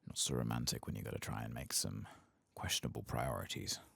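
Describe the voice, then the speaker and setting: A man giving soft-spoken ASMR game commentary, murmuring and often slipping into whispers close to the microphone. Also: a bass voice, steady tone, brisk, vocal fry, no room sound.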